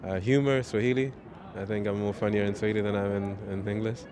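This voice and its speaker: A man's voice: on the low side, little pitch movement, fast talker, noisy background. A man giving terse, list-like answers in a street interview.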